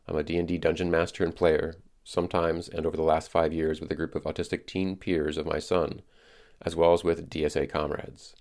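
A middle-aged man reading scripted podcast narration in an earnest, deliberate way. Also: deep voice, creaky, darkish tone. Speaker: podcast host.

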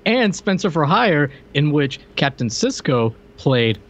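A man's voice: a little high, sing-song, a little slow, a bit bright. A man co-hosting a podcast, chatting casually about a film with joking, wry remarks. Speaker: podcast co-host. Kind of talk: casual movie review banter. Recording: muffled audio with noticeable background noise.

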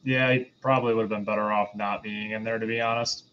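A man's voice: on the low side, level tone, quick pace, a little hiss or hum. A man chatting casually as a panelist on a film review podcast. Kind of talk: casual discussion. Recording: slightly dull, lo-fi voice audio.

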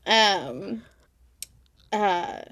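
Casting: woman as podcast host, medium pitch, casual and a bit tentative, dry studio-close sound. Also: expressive, very slow, a bit bright, no echo. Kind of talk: casual interview chat.

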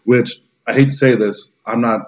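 A man's voice: medium pitch, fairly even intonation, moderate pace. A man in his thirties ranting casually as a podcast co-host, blunt, profane and irritable. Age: 30s. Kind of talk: casual opinionated rant.

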